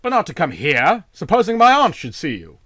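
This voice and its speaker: A man voicing a flustered, indignant gentleman in a storybook, exclaiming and easily alarmed. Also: high-pitched, huge pitch swings, fairly quick, slightly rough, dry recording, pitched above the performer's own voice, heavily acted. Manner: exclaiming, stammering when cornered.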